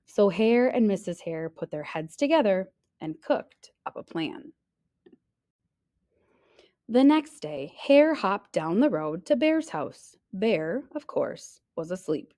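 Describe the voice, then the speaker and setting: A woman reading a children's picture book aloud in a deliberate, expressive storytelling manner. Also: medium pitch.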